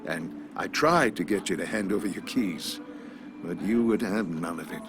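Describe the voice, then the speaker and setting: A man playing a courteous, philosophical older small-town pharmacist in a video game, talking in a polite, measured, folksy way. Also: deep voice, music in the background, close to an everyday voice. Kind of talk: video game character dialogue.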